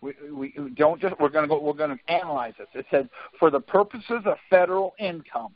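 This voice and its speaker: High-pitched insistent man on a lo-fi call. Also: brisk. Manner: insistent, interrupting, repeats himself. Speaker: conference call participant. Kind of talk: argumentative explaining on a group call. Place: group phone conference call. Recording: dull lo-fi conference call audio.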